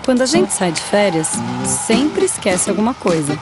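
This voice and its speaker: A woman reading a scripted public service ad in a smooth, measured announcer style. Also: medium pitch, very animated. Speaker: ad narrator. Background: loud music.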